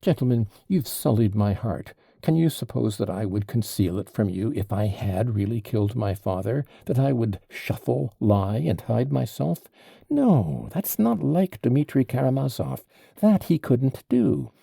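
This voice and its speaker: Guy doing a frantic young man under arrest, loud and raspy, dry close sound. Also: mid-range voice, very animated, unhurried, dry recording, voice pushed up, heavily acted. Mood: anguished.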